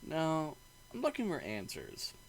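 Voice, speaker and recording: Man voicing a lively, joking young adventurer. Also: fairly high pitch, measured pace, slight background noise, voice pushed up, rough recording. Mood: earnest. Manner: joking, chatty, casual. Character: wry, friendly stranded traveler, visual novel protagonist.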